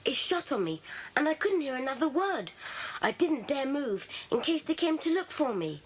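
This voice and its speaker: Lady doing a keen brave schoolboy, high voice, very lively, hissy old tape drama. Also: moderate pace. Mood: excited. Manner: confident, eager, old-fashioned schoolchild phrasing. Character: bold, eager schoolboy adventurer. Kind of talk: children's audiobook drama acting. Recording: hissy old cassette transfer.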